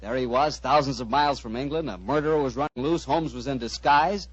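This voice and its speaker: A man with an American accent announcing in 1940s radio style, with a folksy sales pitch. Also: fairly quick, husky, no echo. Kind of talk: 1940s radio commercial and hosting. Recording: dry, muffled vintage radio broadcast.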